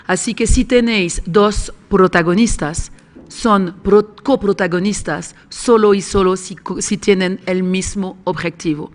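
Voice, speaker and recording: A woman from Switzerland, a screenwriter and script doctor, speaking Spanish as an at a panel talk, pausing to hum and restart while searching for words. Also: mid-range voice. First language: not Spanish. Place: conference room with audience.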